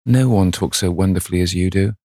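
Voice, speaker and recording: A man's voice: sits low, quick pace, dark tone, no room sound, clean studio sound. A man voicing an eager, admiring young man with an earnest, pleading manner in a storybook reading. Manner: murmuring, earnest.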